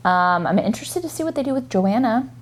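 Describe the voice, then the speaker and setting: A woman's voice: a little high, animated, brisk, a little hiss or hum. A woman co-hosting a casual book chat in a blunt, teasing way. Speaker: co-host of a book discussion video.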